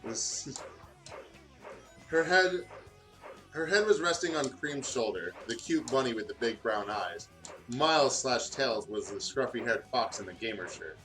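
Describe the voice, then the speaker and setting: A man's voice: medium pitch, animated, a little slow, bright, forceful tone, soft background music. A man doing a comedic read-aloud of fanfiction, stumbling over words and breaking off to comment. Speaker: fanfiction reader on a gaming show.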